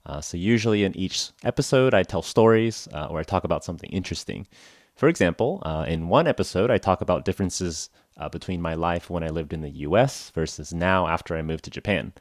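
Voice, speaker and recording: Deep-voiced American man hosting a podcast. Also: expressive, fairly quick, no room sound, studio quality. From United States (Oklahoma). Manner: chatty, frequent "uh" and "like", self-deprecating jokes. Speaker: podcast host. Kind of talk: casual solo podcast for English learners.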